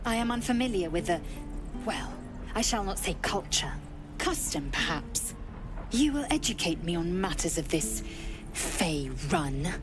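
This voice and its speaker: A harsh warrior woman from an alien race, giving clipped, cold orders. Her voice sits low for a woman. Game music runs underneath. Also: big pitch swings, a little slow. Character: stern githyanki warrior woman, blunt and cold. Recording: game audio with music behind.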